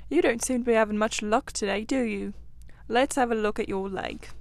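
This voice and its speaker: A woman voicing a kindly but excitable, easily exasperated male rat in a storybook, brisk, eager and bossy. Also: on the high side, creaky. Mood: kindly, attentive.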